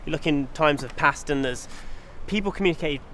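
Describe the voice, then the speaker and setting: A man's voice: fairly high pitch, brisk, slight background noise. A man giving an earnest scripted documentary narration, often posing rhetorical questions. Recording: loud music behind voice. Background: loud music.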